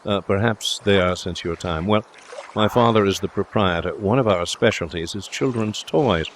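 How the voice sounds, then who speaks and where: A man voicing a breezy, confident young gentleman suitor in an audiobook, speaking in a jaunty, reassuring, chatty way. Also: fairly low pitch, moderate pace, a little hiss or hum, natural voice. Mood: chatty, confiding. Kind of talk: audiobook character dialogue.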